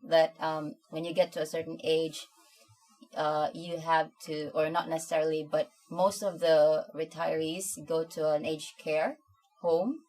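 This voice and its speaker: A woman from the Philippines, speaking as an interview guest, hesitant and filling pauses with ums. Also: low-pitched, flat delivery, a little mellow, poor audio.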